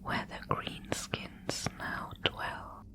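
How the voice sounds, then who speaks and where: A woman voicing an ancient female spider weaver in an ASMR audio roleplay, giving slow, ominous warnings in a whisper. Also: sharp tone. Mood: solemn.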